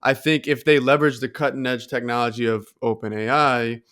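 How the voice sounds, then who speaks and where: Smooth, even-toned man in casual discussion. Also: mid-range voice, fairly quick. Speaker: podcast co-host.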